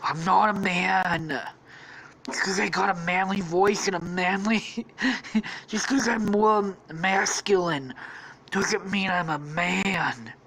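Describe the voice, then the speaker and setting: A woman voicing a gruff, deadpan young woman with a manly voice. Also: fairly low pitch, poor audio. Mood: defensive. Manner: slow, deadpan and defensive.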